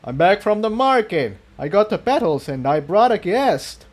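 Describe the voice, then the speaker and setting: A man's voice: high voice, big pitch swings, moderate pace, voice pushed up. A man voicing a polite, friendly male refugee pony in a fantasy storybook reading. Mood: cheerful.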